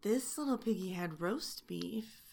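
A young woman playing a sharp-tongued, domineering flight attendant who mocks and taunts. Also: medium pitch, animated, a little slow, vocal fry, a little hiss or hum. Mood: mocking. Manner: mocking, teasing taunts. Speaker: voice actor in an audio roleplay. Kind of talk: adult audio roleplay.

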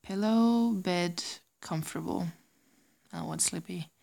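A young German woman with a light German accent, chatting casually and giggly, often slipping into a soft whisper. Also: lowish voice, slow pace, gravelly. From Germany, raised in Singapore. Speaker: audio creator. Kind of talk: casual chat reading word lists.

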